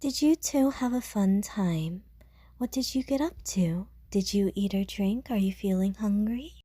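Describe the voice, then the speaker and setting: A woman playing an anxious, gentle, doting young woman who fusses with stammering concern in an audio roleplay. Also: medium pitch, slightly rough, no room sound, clean studio sound. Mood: eager, caring. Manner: fretful, stammering, rapid questions.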